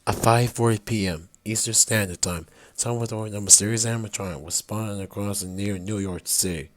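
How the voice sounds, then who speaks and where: A male emergency alert announcer with a fairly low voice and a very dry, close sound. He reads scripted warnings formally, in a stilted, synthetic delivery. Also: a little fast, no echo.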